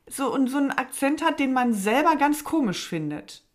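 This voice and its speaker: Eine Frau mit leichtem Lispeln, die als Co-Host offen und locker plaudert und dabei oft lacht. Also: female voice, high voice, sing-song, normal speed. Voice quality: slight lisp. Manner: frank, chatty, laughs often. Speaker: podcast co-host. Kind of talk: casual chat.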